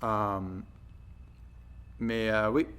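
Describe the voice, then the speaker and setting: A man from the United States with an American accent, a native English speaker, talking casually to viewers and haltingly searching for his words in a second language. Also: fairly low pitch, fairly even intonation, fairly bright tone, smooth. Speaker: video blogger practising French.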